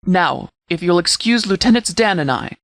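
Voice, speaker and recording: Man acting a stern, commanding sheriff, higher-pitched. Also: lively intonation, a bit bright, dry recording, clean studio sound. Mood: businesslike. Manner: formal, measured, authoritative. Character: stern, commanding sheriff leading a wasteland militia. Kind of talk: scripted video game dialogue.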